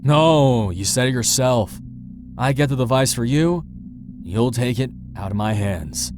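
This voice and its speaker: A man's voice: mid-range voice, expressive, a little slow, natural voice. An actor playing a proud, guarded male smuggler in a visual novel game, speaking tersely and with defiance. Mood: defiant. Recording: music behind the voice. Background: game music.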